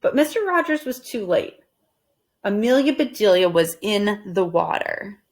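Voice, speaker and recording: A woman reading a children's storybook aloud at storytime, expressive and deliberate in her phrasing. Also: mid-range voice. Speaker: storytime reader.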